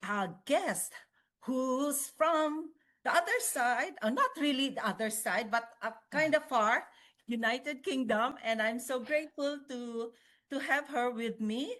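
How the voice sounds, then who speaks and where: A woman's voice: high voice, a little slow, a bit bright. Hesitant woman hosting. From Philippines. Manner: hesitant, frequent fillers, cheerful. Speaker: podcast host. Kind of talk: casual interview chat.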